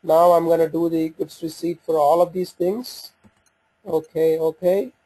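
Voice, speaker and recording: A man presenting an informal software walkthrough, talking haltingly as he thinks aloud while working through the screens. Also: a little high, flat delivery, breathy edge, dry recording, low-quality recording.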